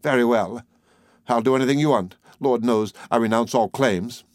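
Man as flustered young man, earnest, pleading, exasperated, mid-pitched, storybook dialogue. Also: normal speed, darkish tone, dry recording, studio quality, natural voice. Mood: resigned, exasperated.

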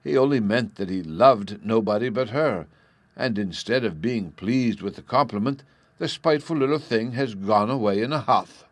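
A man voicing a haughty, shrill noblewoman who speaks imperiously. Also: normal speed, no echo, lightly acted.

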